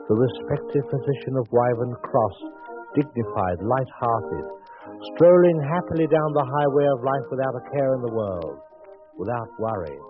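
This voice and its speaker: An actor playing a wry, theatrical mystery storyteller, narrating deliberately in 1940s radio style. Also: male voice, lowish voice, lightly acted. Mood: droll, confiding. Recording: muffled vintage 1940s radio broadcast with music.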